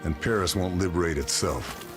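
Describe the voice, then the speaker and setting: A man playing a stoic, dry-witted Allied sniper, laconic and deadpan, in a war game cutscene. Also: sits low, normal speed, music in the background, lightly acted. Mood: grim, dry. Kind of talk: restrained game cutscene acting.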